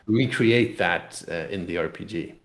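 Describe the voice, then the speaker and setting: An echoey recording of a man with a fairly low voice. He talks casually as a game designer being interviewed, haltingly, thinking aloud as he goes and doubling back on his words. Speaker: game designer and publisher guest. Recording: echoey room sound.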